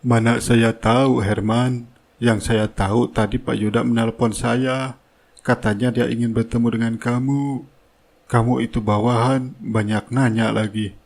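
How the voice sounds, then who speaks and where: A brusque, nagging foreman from a cartoon drama, played by a man. He speaks up forcefully and impatiently, ordering his workers about like an irritated boss. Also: mid-range voice, little pitch movement, a little slow, vocal fry. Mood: scolding, impatient. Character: bossy, scolding construction foreman.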